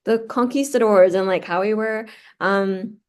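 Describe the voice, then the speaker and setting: A woman's voice: medium pitch, unhurried, clear, resonant tone. Chatty young woman, filler-heavy. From born in Peru, raised in the United States. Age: 20s. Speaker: guest, law student. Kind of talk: casual interview conversation.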